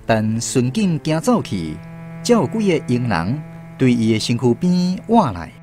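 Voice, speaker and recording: A man's voice: mid-range voice, very animated, unhurried, darkish tone, a little hiss or hum. A man with a Taiwanese accent narrating a literary radio drama from a script. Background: plucked guitar music.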